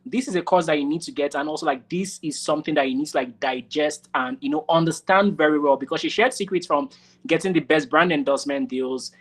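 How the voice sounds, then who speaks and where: A woman's voice: sits low, quick pace. A woman hosting a casual interview, encouraging her guest and speaking straight to listeners. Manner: encouraging, many short affirmations. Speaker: interview host.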